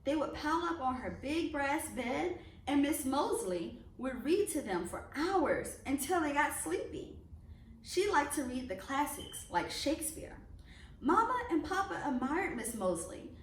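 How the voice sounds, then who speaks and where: A woman reading a children's picture book aloud as a storyteller, expressively and with deliberate emphasis on phrases. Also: high-pitched, sing-song. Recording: roomy echo, distant mic, faint noise.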